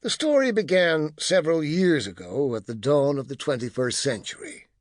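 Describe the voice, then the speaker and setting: A man narrating an audiobook as scripted fiction, telling the story in a measured, dramatic and expressive way. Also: on the high side, dry recording, clean studio sound.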